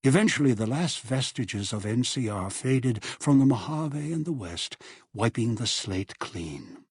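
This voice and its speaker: A man's voice: mid-range voice, animated, unhurried, husky, no echo, studio quality. A man narrating a grim video game epilogue in a solemn, scripted storytelling style.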